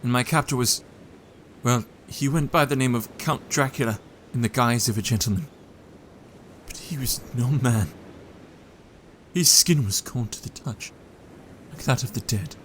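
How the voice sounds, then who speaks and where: An actor playing a haunted husband and former captive in an audio drama, speaking in a halting, troubled way. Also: male voice, mid-range voice, fairly bright tone, a little hiss or hum, lightly acted. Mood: haunted, fearful.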